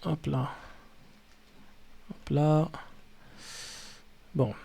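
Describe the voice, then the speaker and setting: Non-native guy streaming, slow and mellow, kinda halting, talks to himself. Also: a little high, fairly even intonation, vocal fry, breathy edge, muffled recording. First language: not French. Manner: halting, loose, talks to himself while setting up. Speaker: streamer reacting to videos. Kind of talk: casual live-stream chat.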